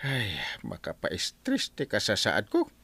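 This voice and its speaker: An actor with an Ilocano accent playing a stressed, broke village captain in a radio comedy drama, complaining with exaggerated exclamations. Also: male voice, lowish voice, huge pitch swings, a little slow, vocal fry, bright, forceful tone, breathy edge, no room sound, exaggerated voice. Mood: desperate, whining.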